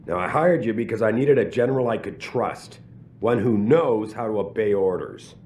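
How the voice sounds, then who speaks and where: An actor playing a proud, evasive middle-aged company boss in a video game, speaking emphatically with drawn-out stresses. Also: male voice, fairly low pitch, darkish tone, close to an everyday voice. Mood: steely, commanding. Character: commanding, evasive, proud corporate boss man. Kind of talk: video game cutscene acting.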